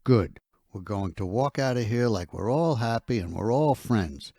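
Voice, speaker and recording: Man playing a low-voiced menacing security officer. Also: animated, moderate pace, dry recording, studio quality. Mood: cold menace. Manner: quietly threatening, commanding. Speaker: actor in an audio drama. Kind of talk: scripted audio drama acting.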